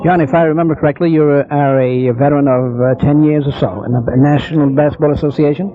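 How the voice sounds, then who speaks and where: Higher-pitched man hosting vintage sports radio. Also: steady tone, quick pace. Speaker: radio host, interviewer. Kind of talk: 1970s radio sports interview. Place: busy club. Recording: dull vintage radio recording, crowd murmur.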